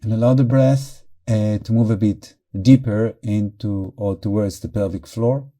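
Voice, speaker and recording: Very mellow, soft-edged male meditation guide. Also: fairly low pitch. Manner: calm, measured, short phrases with pauses. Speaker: meditation teacher.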